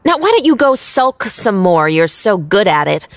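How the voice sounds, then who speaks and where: A woman's voice: mid-range voice, big pitch swings, moderate pace, no echo. An actress playing a headstrong, independent working woman, curt, sarcastic and defiant, in an audio drama. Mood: irritated, defiant.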